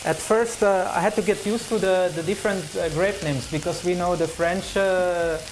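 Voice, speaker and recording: A man's voice: high-pitched, steady tone, normal speed, background noise. A young Belgian man whose first language is Dutch, chatting in English as a guest cook with many hesitant fillers and restarts. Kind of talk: casual kitchen chat. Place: kitchen studio with frying.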